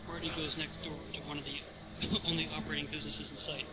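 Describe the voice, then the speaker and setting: A man's voice: on the high side, level tone, quick pace, background noise. A man narrating stage directions in an informal read-aloud of a screenplay.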